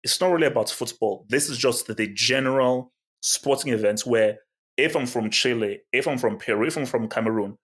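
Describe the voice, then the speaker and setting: A man's voice: mid-range voice, fairly quick, fairly bright tone. Emphatic man pressing his point. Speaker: podcast co-host. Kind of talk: casual sports chat.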